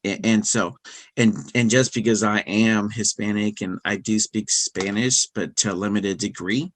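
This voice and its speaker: A middle-aged man speaking as a podcast guest, talking casually with many fillers, restarts and soft laughs. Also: on the low side, steady tone, unhurried, no room sound. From United States. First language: English. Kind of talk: casual interview conversation.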